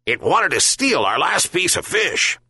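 A man's voice: on the high side, big pitch swings, unhurried, pushed and bright, breathy edge, no room sound, pitched above the performer's own voice, exaggerated voice. A man voicing a loyal, suspicious dog in a storybook, yelping indignantly.

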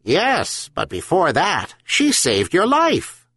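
A man voicing a wise talking herring gull in a storybook, speaking slowly and solemnly. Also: high voice, wildly swooping pitch, fairly bright tone, slightly rough, pitched above the performer's own voice, full character voice. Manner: slow, solemn counsel.

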